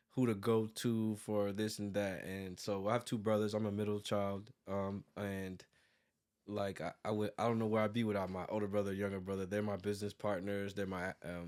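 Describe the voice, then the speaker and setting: An American man in his thirties talking casually as an interview guest, rambling with lots of "like" and self-corrections. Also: on the low side. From United States, born in New York and raised in Virginia. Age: 30s. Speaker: interview guest, graphic designer and business owner.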